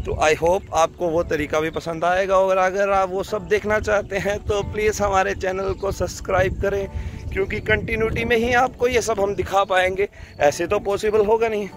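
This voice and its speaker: A man's voice: high-pitched, flat delivery, normal speed. A young man from Himachal Pradesh, chatting informally to viewers in a casual vlog, recorded outdoors on a phone at arm's length. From Himachal Pradesh, India. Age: young adult. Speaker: vlogger. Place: outdoors, rural farmhouse yard and fields. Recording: phone video with music under voice. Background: music.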